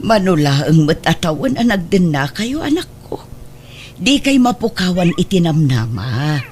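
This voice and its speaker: A woman's voice: fairly low pitch, sing-song, unhurried, darkish tone, slight background noise. A woman acting an exasperated but fond older mother in an audio drama, with an Ilocano Filipino accent. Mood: chiding, consoling.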